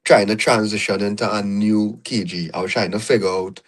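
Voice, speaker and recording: Low-voiced Trinidadian man telling his story. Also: fairly even intonation, fairly quick. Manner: reflective, storytelling, many "you know"s. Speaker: podcast guest. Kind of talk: casual interview conversation.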